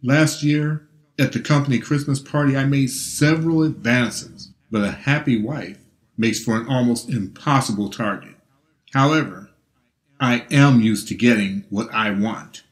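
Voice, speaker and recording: A man voicing a smug billionaire businessman who speaks with annoying calm. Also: mid-range voice, unhurried, lightly acted.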